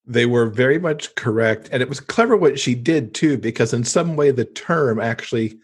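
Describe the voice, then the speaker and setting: American guy, middle aged, kinda raspy, medium pitch, chatting as a podcast guest. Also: expressive, moderate pace. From Michigan, United States. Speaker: podcast guest, romance author. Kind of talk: casual interview chat.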